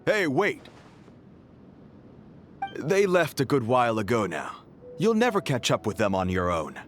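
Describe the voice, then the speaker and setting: A man playing an affable but wary desert guard with a sly streak in a video game, expressive and probing. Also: mid-range voice, unhurried. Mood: friendly, helpful. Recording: music under the voice.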